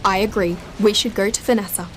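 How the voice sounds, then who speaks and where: Audio drama role: actress as enigmatic dead woman, composed and matter-of-fact, average pitch, rain-like noise in the background. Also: fairly even intonation, a little fast, close to an everyday voice, low-quality recording. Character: Laura, calm enigmatic female ghost, quietly reasoning. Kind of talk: scripted audio drama dialogue.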